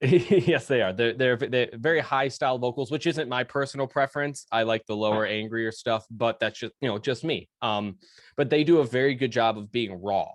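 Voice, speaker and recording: A man's voice: medium pitch, normal speed, no echo, clean studio sound. A man hosting an interview, easygoing and affirming, using many fillers. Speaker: interview host. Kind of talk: casual interview chat.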